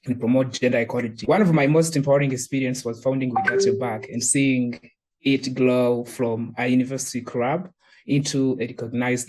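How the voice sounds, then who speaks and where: A man from Rwanda giving an earnest, measured personal statement as a gender equality advocate, with small restarts. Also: medium pitch, a little mellow, poor audio.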